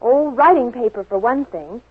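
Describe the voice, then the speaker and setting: A woman's voice: on the high side, animated, moderate pace, husky, no room sound. An American woman playing out scripted dialogue as a spokeswoman in a 1940s radio commercial. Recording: muffled, dry 1940s radio broadcast.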